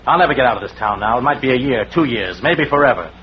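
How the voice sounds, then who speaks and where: Guy playing tough bitter reporter man, talks quick, 1940s radio drama, hissy muffled audio. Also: mid-range voice, animated, natural voice. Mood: bitter, accusing. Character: bitter, ambitious hard-boiled male newspaper reporter.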